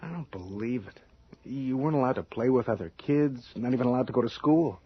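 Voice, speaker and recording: An actor playing a practical, coaxing but impatient husband in an old-time radio drama, informal in manner. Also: male voice, medium pitch, brisk, husky, no room sound, faint music behind, natural voice. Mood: insistent. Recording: muffled, noisy old radio recording.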